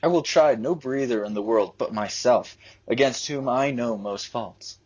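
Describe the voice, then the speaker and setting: A man acting an earnest, wry, lovesick young gentleman in a Shakespearean radio play, husky, on a lo-fi recording. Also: mid-range voice, unhurried. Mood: wry sparring.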